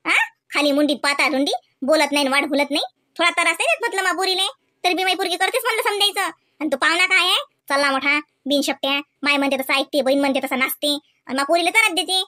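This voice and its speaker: Shrill, very high-pitched village wife. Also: female voice, quick pace, sharp tone, no room sound, studio quality. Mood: complaining, defensive. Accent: rural Maharashtrian Marathi. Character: aggrieved older village wife and mother, stubbornly defensive. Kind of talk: comic cartoon dialogue, domestic argument.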